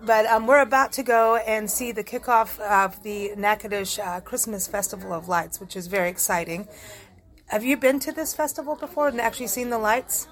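A woman's voice: on the high side, normal speed. A woman hosting a casual on-location interview show in a chatty, effusive way. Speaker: radio show host. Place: on location at venues in town. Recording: noisy on-location recording with ambient sound.

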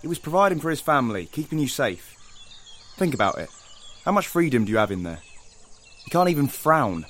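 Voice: mid-range voice, sing-song, brisk, a bit bright. An actor playing a blunt but protective rebel ally in an audio drama, speaking earnestly. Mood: urgent, reasoning.

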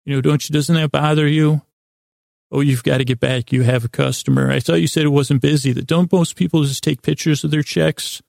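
A man with a gravelly, creaky voice, recorded close and dry. He hosts a bedtime show, rambling in a soothing, improvised way. His sentences stumble, restart and wander off into gentle tangents. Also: on the high side, steady tone, a little fast, no echo. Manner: meandering, stammering, with repeated false starts and tangents; lulling, soothing delivery. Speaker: host of a bedtime sleep podcast.

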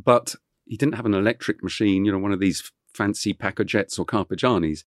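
Middle-aged man, deep and low, dry recording; rambling storyteller guest with a French first language. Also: brisk, no room sound. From England (Kent). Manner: rambling, many fillers and restarts. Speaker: chef guest. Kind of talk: relaxed interview storytelling.

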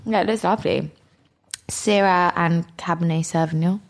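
A woman's voice: on the low side, normal speed, gravelly. A young woman with a nasal voice, co-hosting a podcast in casual, self-deprecating, rambling chat. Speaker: podcast co-host.

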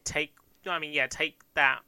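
A man's voice: a little high, fairly even intonation, a little slow, bright, forceful tone, smooth, no echo. A man in his twenties from Kent, co-hosting a podcast in casual, joking chat and laughing often. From Kent, England. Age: 20s. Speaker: podcast co-host.

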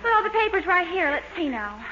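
On a hissy, muffled old radio recording, a young woman with a very high voice plays the sweetheart. She sounds gentle and patient, indulgent in classic 1940s sitcom style. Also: sing-song, brisk. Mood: mildly curious.

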